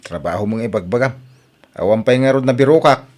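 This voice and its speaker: A man's voice: mid-range voice, a little fast, darkish tone, lightly acted. A man acting a jobless, evasive and comically irritable village husband in an Ilocano radio comedy, with an Ilocano accent. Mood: defensive, evasive.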